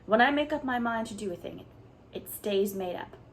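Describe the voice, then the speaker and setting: A woman's voice: on the high side, expressive, a little fast, a little hiss or hum, voice pushed up. A woman voicing a stern older farm woman who answers in a dry, curt way.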